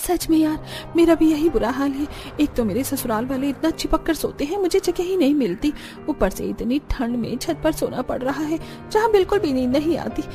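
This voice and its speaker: A woman's voice: high voice, lively intonation, normal speed, warm tone, faint music behind, pitched above the performer's own voice. A woman voicing a young village daughter-in-law in a cartoon, complaining in a dramatic, exasperated way.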